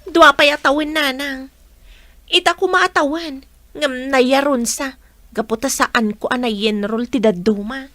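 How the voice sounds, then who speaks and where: A woman's voice: sits high, unhurried, fairly bright tone, dry recording. A woman playing a demanding, sulky young student daughter in an audio drama. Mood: evasive, complaining.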